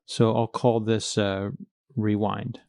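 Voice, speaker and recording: A man's voice: lowish voice, flat delivery, takes it slowly, vocal fry, a little mellow, no echo, studio quality. A man teaching coding in a screencast, explaining each step in a methodical, plain-spoken way. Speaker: coding tutorial instructor.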